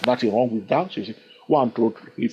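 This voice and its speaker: A man teaching a chart lesson on trading, constantly checking in with the listener with quick questions. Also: medium pitch. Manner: animated, keeps asking the listener "yes or no?" and "okay?". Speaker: trading instructor. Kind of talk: screen-recorded chart lesson. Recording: dull lo-fi recording.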